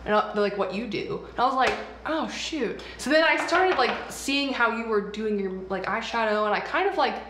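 A young woman in her early twenties talks through her makeup in a relaxed, chatty way, naming each product as she goes. Her voice sits a little high and the room rings back with a faint hiss underneath. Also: moderate pace, hardly any pauses. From United States, raised mostly in the South. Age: early 20s. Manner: chatty, explains products as she applies them. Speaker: beauty vlogger host. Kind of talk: casual chat while doing makeup. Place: small indoor room, seated side by side. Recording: roomy indoor sound.

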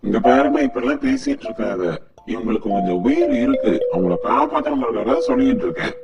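A man's voice: mid-range voice, wildly swooping pitch, fast talker. A man voicing a stern, pompous, insistent doctor in a comedy cartoon. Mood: urgent. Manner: insistent, warning. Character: stern pompous male doctor giving warnings. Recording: distant, muffled voice under loud music.

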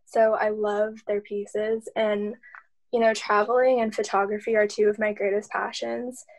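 A woman's voice: medium pitch, level tone, unhurried. A young woman talking casually as a panelist on a video call. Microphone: video call microphone.

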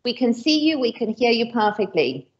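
A woman's voice: on the high side, very animated, moderate pace. Woman, thirties, conference host, enthusiastic intros, lo-fi stream audio. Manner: warm enthusiastic introductions with um fillers. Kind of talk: introducing speakers at a hybrid conference. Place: conference room with screens.

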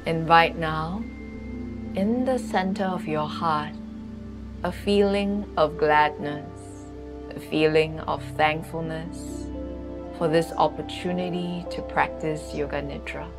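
A woman leading a guided yoga nidra meditation in a soothing, unhurried way, with long pauses. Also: low-pitched, music in the background.

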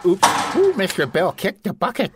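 Woman, comedy co-host, quippy, teasing, wildly varied pitch, slight background noise. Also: wildly swooping pitch, moderate pace. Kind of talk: joking riff commentary.

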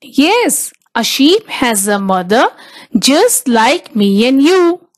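Woman, high-pitched, very animated, children's read-aloud narrator, deliberate phrasing. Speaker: storybook narrator.

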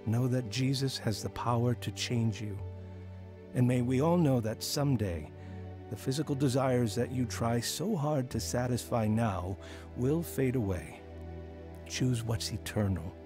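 A man's voice: mid-range voice, measured pace, lightly acted. A man playing an earnest, repentant middle-aged father narrating his life story in an American radio drama. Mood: earnest, urging. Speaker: lead actor narrating his testimony. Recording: music bed under the voice.

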